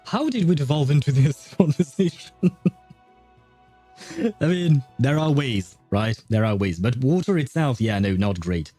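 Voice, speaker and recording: A man's voice: mid-range voice, lively intonation, measured pace, warm tone, faint music behind. A man hosting a casual gaming livestream, rambling and hesitant in a jokey way as he talks with his chat. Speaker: livestream host.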